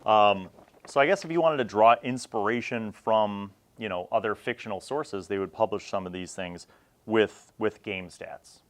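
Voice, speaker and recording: An American man from Chicago hosting a retro gaming review, talking casually and haltingly as he thinks aloud. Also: fairly low pitch. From Chicago, United States. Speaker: host of a retro gaming magazine review series. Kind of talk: casual rambling review to camera, leafing through a magazine.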